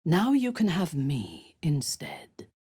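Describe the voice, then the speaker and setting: An actress playing a ruthless, haughty female warrior from the Underdark in a video game, speaking in a measured, imperious and menacing way. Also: deep voice, huge pitch swings, dark tone, husky, no echo, clean studio sound, full character voice. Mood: haughty, scornful. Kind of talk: video game character voice lines.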